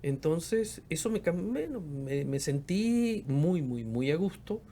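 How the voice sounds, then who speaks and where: A man's voice: fairly high pitch, big pitch swings, slow pace, mellow, a little hiss or hum. A man in his fifties from Argentina's Patagonia, a researcher and professor, speaking reflectively as an interview guest and often repeating words as he searches for them. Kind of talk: casual interview conversation.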